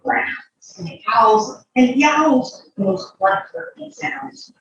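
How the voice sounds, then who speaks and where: A woman reading a picture book aloud to a child, expressive, drawing out words and adding chatty asides. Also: a little high, extremely slow, very echoey, far from the mic, dull audio, slight background noise.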